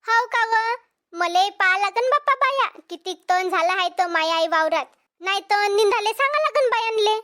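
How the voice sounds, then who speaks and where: A woman voicing an excitable, exasperated village farm wife in a cartoon comedy, with a Vidarbha Marathi accent and an exclamatory, complaining way of talking. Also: very high voice, moderate pace, heavily acted. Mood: friendly chatty.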